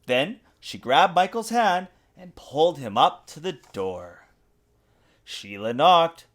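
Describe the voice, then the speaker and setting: A father reading a picture book aloud to a young child, with expressive, dramatic emphasis. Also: fairly high pitch, slow pace. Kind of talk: animated children's storybook narration. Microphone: USB desktop mic.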